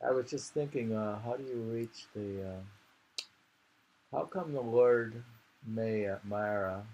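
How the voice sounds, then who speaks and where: A man's voice: medium pitch, takes it slowly, darkish tone, dull audio. A man reading poetry and chatting casually about it, rambling and musing aloud as he goes. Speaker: poetry reader and host. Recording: noticeable background noise, amateur home recording.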